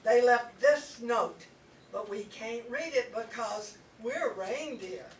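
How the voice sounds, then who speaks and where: A woman's voice: on the high side, takes it slowly. A woman voicing a dim-witted, plaintive reindeer in an amateur comic radio play. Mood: dopey, upset. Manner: dopey, plaintive. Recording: echoey room, distant mic.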